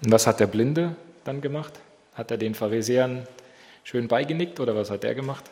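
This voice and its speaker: A man's voice: mid-range voice, a little fast, mellow. A man with a slight cold who opens in prayer and then leads a Bible study, asking his group questions. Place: church hall. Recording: long hall reverb.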